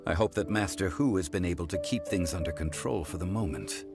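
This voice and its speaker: A man playing a composed, erudite gentleman in a video game, speaking formally, thoughtfully and deliberately. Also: deep voice, creaky, over music. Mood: grave counsel. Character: composed, erudite gentleman consultant, grave and measured. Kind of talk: video game character acting.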